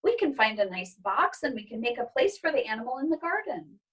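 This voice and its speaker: A woman's voice: fairly high pitch, very animated, brisk, fairly bright tone, far from the mic. A woman voicing a fussy, squeamish mother who talks in a flustered, rambling way.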